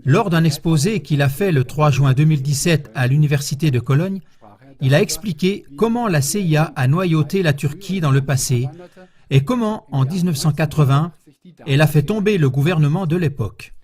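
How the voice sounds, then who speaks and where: Formal male news voice-over, slightly high. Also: a little mellow. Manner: measured, formal reading. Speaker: news narrator. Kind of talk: scripted voice-over narration.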